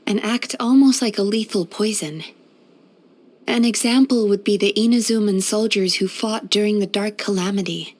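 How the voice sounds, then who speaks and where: A woman playing a gentle, caring female psychologist in a video game, speaking in a soothing, thoughtful, measured and softly playful manner. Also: medium pitch, close to an everyday voice. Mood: somber. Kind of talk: scripted video game character voice lines.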